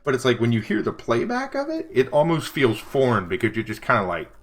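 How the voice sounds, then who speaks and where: A middle-aged man with a deep voice, chatting casually on a livestream as he draws and answers chat. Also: fairly quick. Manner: relaxed, rambling, reads and answers chat. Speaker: livestreaming vector artist. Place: home studio desk.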